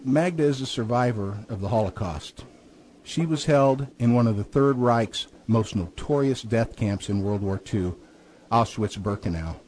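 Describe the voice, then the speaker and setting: A man's voice: mid-range voice, darkish tone, slight background noise, rough recording. A man hosting a radio talk show, who introduces his guest earnestly and stumbles and repeats himself when he speaks freely. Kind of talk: radio host introduction and chat.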